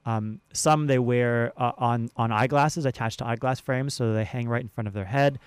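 A man being interviewed as a theater director, explaining conversationally with halting repeats, close on a studio mic. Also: medium pitch, smooth, no room sound. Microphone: close studio broadcast mic.

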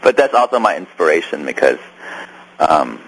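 A man's voice: rumbling low, big pitch swings, moderate pace, fairly bright tone, husky, rough recording. A man who grew up in Hong Kong, with a Hong Kong accent, answering interview questions about his film in a rambling way with many restarts. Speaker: filmmaker guest.

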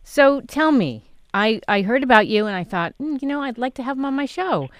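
Woman radio host, from new york city, smooth clear voice, dry studio sound, chatty. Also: high voice, lively intonation, brisk, dry recording. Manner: chatty, friendly questioning. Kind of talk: radio interview.

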